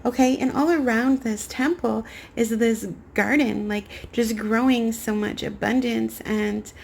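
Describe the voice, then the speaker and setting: A young woman giving a rambling spiritual tarot reading to camera, her voice raspy as she gets over a cold. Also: a little high, fairly even intonation, unhurried, a little hiss or hum. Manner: soft, meandering spiritual talk with many fillers. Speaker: tarot card reader.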